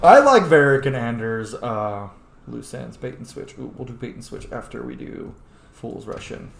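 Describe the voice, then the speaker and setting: A man's voice: mid-range voice, expressive, normal speed, gravelly. A man doing casual let's play commentary, playful and sweary, talking to the game's characters in a roomy-sounding space. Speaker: video game streamer. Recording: roomy mic with game music behind. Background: game music.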